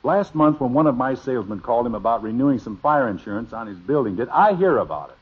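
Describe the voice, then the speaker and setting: A man's voice: mid-range voice, lively intonation, quick pace, husky, phone-quality sound. An American actor playing a stubborn, teasing middle-aged father in a 1950s radio comedy, blustery and emphatic, on an old broadcast recording. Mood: exasperated.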